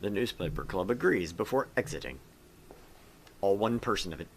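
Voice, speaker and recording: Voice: low voice, expressive, normal speed, a little hiss or hum. A gaming co-host narrating a visual novel and chatting casually with joking, sweary commentary.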